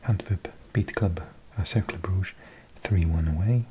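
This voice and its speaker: A Welsh man in his forties, whispering softly as an ASMR host, slowly reading out a list of results with chatty asides. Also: low-pitched, a little hiss or hum. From Wales.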